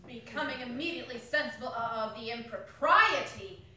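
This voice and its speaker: A woman's voice: a little high, moderate pace, crowd noise. A woman narrating a classic story in a theatrical live reading. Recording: distant, very echoey hall recording.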